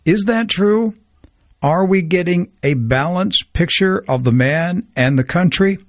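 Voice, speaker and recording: A man's voice: high voice, animated, a little slow, dry recording, clean studio sound. A man hosting a talk radio show, reading a scripted intro and then interviewing with earnest, stumbling restarts.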